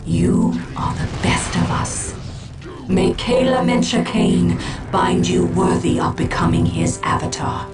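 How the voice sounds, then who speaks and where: A proud alien prophetess of a video game speaks slowly and with solemn weight. Her words come measured and cryptic, full of command and foreboding. Loud cinematic music swells behind her. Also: lowish voice. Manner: grave, portentous, measured. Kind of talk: dramatic video game cutscene acting.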